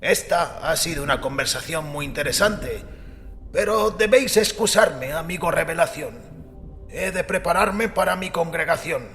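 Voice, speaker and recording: A man playing an aging, stubbornly faithful priest with a husky voice and courteous, formal speech. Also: a little high, normal speed, a bit bright. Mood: courteous, wary. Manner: formal, courteous, archaic address.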